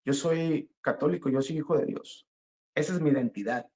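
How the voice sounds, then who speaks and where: A middle-aged man giving an earnest, spontaneous personal religious testimony, speaking haltingly and repeating phrases for emphasis. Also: fairly high pitch, a little mellow.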